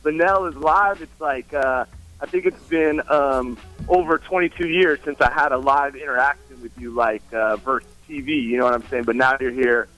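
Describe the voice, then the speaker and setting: Bright-voiced eager male caller. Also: mid-range voice, moderate pace, soft background music, low-quality recording. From Oakland, California. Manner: eager, chatty, reminiscing. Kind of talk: call-in chat.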